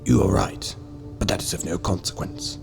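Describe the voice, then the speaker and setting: A man playing a wise, secretive old prisoner in an amateur radio drama, speaking in a hushed, measured way. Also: sits low, fairly even intonation, creaky, over music. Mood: calm, secretive.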